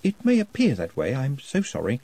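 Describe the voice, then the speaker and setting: Guy doing a nervy formal clever young man, careful precise speech, bit husky. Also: mid-range voice, huge pitch swings, moderate pace, warm tone, no echo, pitched above the performer's own voice. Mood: earnest. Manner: precise, earnest, nervously formal.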